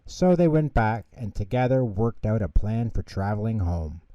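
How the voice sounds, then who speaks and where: Dark, mellow-voiced man reading bedtime stories. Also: on the low side, animated, normal speed, no echo. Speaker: storyteller, narrator. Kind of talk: expressive children's bedtime story narration. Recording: dull, lo-fi close recording.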